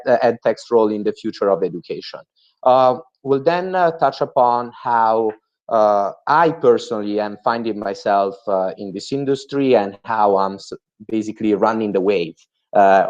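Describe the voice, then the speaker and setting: A young Italian-accented man gives an earnest webinar presentation, pausing often on "uh". His lowish voice arrives through dull, muffled video-call audio. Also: darkish tone. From Italy. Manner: frequent "uh" fillers, earnest. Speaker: guest speaker.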